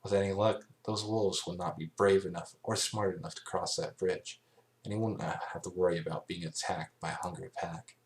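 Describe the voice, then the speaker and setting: A man reading scripted story narration as an amateur, in a halting way, stumbling and rereading phrases. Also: low voice, steady tone, poor audio. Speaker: amateur fan fiction reader.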